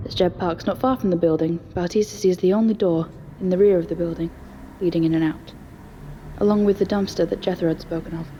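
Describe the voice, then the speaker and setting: A woman's voice: lowish voice, fairly even intonation, brisk, warm tone, dull audio, slight background noise. A woman reading scripted present-tense story narration as the narrator of an audio drama.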